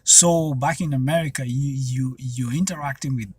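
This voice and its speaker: A man's voice: medium pitch, normal speed, darkish tone, a little hiss or hum. A young Kenyan man, Swahili-speaking by birth, talking to camera in a loose, rambling way with many restarts and fillers. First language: Swahili and another Kenyan language. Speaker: vlogger. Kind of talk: casual talk to camera.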